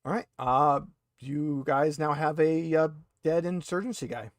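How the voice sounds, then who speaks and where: A man's voice: a little high, measured pace, no room sound, studio quality. A man acting as game master, narrating a tabletop roleplaying game in a conversational, hesitant way.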